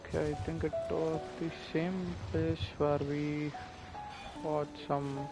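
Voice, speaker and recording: A man's voice: on the high side, level tone, takes it slowly, poor audio. A man giving casual live commentary as he plays a video game, thinking aloud. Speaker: gameplay streamer. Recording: loud game music behind. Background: loud game music.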